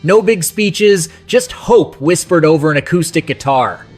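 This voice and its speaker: A man with a slightly high voice hosts a scripted countdown. He tells it like a colloquial, nostalgic storyteller. Faint music plays behind his narration. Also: lively intonation, measured pace. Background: soft rock music behind the voice.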